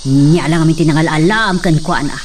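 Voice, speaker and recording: A man's voice: on the high side, a little fast. A man acting a stern older parent in an amateur drama, questioning his son about a new worker. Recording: distant mic with faint outdoor noise.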